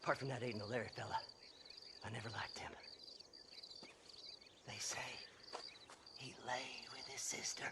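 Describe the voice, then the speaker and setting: An actor playing a crazed, grimy grave-robbing treasure hunter in a video game, with a rural American accent and muttering, stammering, folksy delivery. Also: male voice, mid-range voice, steady tone, normal speed, long pauses, heavy background noise, lightly acted. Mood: brooding, muttering. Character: crazed, grimy male treasure-hunting grave robber, manic and unhinged. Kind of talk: video game cutscene acting.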